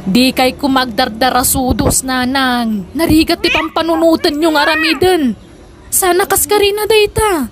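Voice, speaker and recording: A woman's voice: sits high, moderate pace, close to an everyday voice. A woman acting as a practical, exasperated adult daughter in a comedic radio drama, admonishing and trying to reason.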